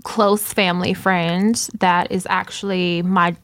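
A woman's voice: fairly low pitch, flat delivery, takes it slowly, dry recording. A middle-aged woman, co-hosting a podcast in frank, profane casual chat with lots of fillers. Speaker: podcast co-host.

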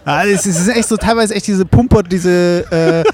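A man's voice: high voice, normal speed, a little mellow. A young man in casual banter, rambling along in a joking, conversational way. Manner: joking, loose rambling storytelling.

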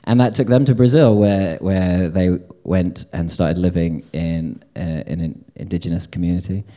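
Deep-voiced man, hesitant and wry. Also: fairly even intonation, moderate pace, dark tone. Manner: hesitant, many fillers, wry asides. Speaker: publisher on a panel. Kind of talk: panel discussion. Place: lecture event before an audience.

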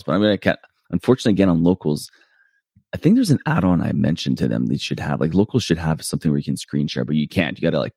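A man with a very low, deep voice hosts his solo podcast in a casual, rambling way. He stops and restarts often, fills gaps with "um" and pokes fun at himself. The sound is dry and close, with no room around it. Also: darkish tone, no echo. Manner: rambling, self-deprecating, many fillers and restarts. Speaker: podcast host.

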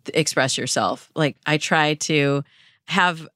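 Low-voiced woman giving expert advice. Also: slow pace, a bit bright, no room sound, studio quality. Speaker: dating coach, interview guest.

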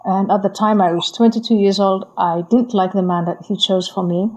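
A woman, a guest answering interview questions in a calm, deliberate way. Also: medium pitch, rough recording. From Somalia.

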